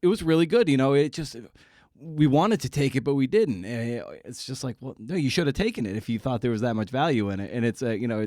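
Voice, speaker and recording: An American man in a casual podcast conversation, with a mid-pitched voice and a very dry sound. He talks haltingly, restarting and repeating words while he feels his way through a thought. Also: expressive, darkish tone, no echo. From Massachusetts, United States. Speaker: podcast host interviewing a writer.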